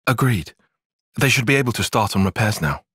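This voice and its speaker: A young tactician for a video game, dryly critical and analytical. His voice has a slightly husky edge and a lively, shifting pitch. The diction is precise and formal, and the sound is dry and close. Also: fairly quick, dry recording. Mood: grave, analytical. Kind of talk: video game cutscene voice acting.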